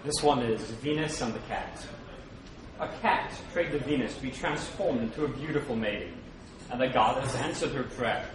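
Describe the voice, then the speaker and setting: A man's voice: mid-range voice, moderate pace, a crowd in the background. A man reading stories aloud dramatically to a live audience, recorded from a distance in a very echoey, noisy room. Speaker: reader at a public read-aloud. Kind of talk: dramatic scripted storytelling.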